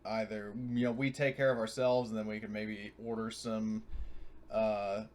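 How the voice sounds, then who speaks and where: A man's voice: on the low side, little pitch movement, normal speed, a little mellow. A man playing a tabletop game, chatting casually and thinking aloud in a rambling, sweary way.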